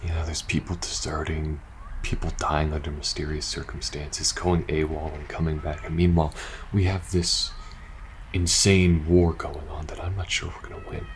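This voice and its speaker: Over faint background ambience comes an extremely deep-voiced soldier, played by a man in an ASMR audio drama. He is weary and uneasy, talking haltingly and casually as if confiding in his closest friend. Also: huge pitch swings, slight background noise, heavily acted. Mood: confiding, worried. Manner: hesitant, halting, casual swearing, sighing. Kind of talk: ASMR audio roleplay acting.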